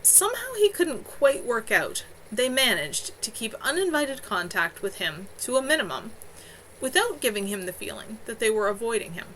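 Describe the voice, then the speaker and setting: A woman narrating a novel as its author, in an expressive storytelling style with animated dialogue. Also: a little high, moderate pace, slight background noise, low-quality recording. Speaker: author reading own novel. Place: at home on a live stream.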